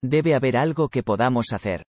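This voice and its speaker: A man reads learner sentences with a lively rise and fall in his voice. He sounds close to the mic, with a dry sound. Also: mid-range voice, moderate pace, no echo. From Spain. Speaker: language lesson speaker. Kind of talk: reading practice sentences aloud.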